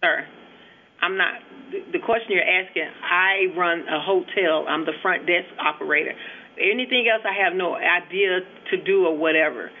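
A man's voice: high-pitched, moderate pace, slight background noise. A man working a hotel front desk, speaking firmly and insistently on the phone, exasperated. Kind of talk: phone customer service. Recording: tinny narrow phone line.

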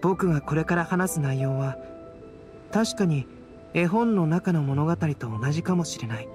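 An actor playing a calm, cerebral young male scholar in a Japanese anime game, speaking in a composed, gentle, thoughtful way. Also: a little high, moderate pace, close to an everyday voice. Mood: pensive. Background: background game music.

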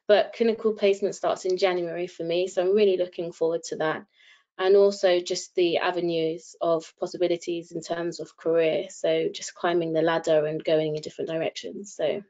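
Woman in her thirties, earnest, chatty, lowish voice, muffled call audio. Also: a little mellow. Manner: chatty, earnest, many ums. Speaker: first-year radiography student. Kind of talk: webinar talk to applicants. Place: home room, indoors. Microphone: laptop webcam video call.

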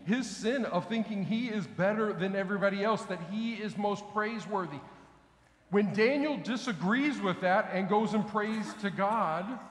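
A middle-aged man, preaching a sermon in a conversational way to his congregation in a large church sanctuary, asking them questions as he walks about. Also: high voice. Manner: conversational preaching, asks the congregation questions, gestures with raised hands. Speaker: pastor. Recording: echoey church hall, faint ambience.

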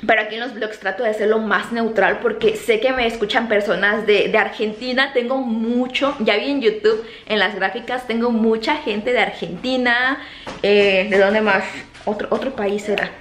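A woman in her thirties from Mexico, with a softened Mexican accent, talking casually to a close camera in her home kitchen, full of fillers and repeated words. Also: fairly high pitch, moderate pace. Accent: Mexican Spanish, softened toward neutral. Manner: chatty, animated hand gestures, many fillers and repeated words. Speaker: vlogger. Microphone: camera close to face. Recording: echoey kitchen, faint room hum.

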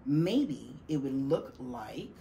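A middle-aged woman reading a children's picture book aloud, deliberately and with dramatic stress on key words. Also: extremely low pitch, sing-song, gravelly, warm tone. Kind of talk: expressive children's storybook reading. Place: small classroom, indoors. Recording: faint room noise behind the voice.

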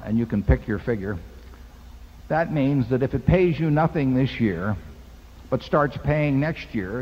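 A man's voice: medium pitch, slightly rough. An older man seated at a table microphone on a hall stage, patiently explaining investing to shareholders with a folksy parable and simple arithmetic. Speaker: company chairman answering shareholder questions. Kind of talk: unscripted explanation at a podium. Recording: dull lo-fi hall recording with long reverb.

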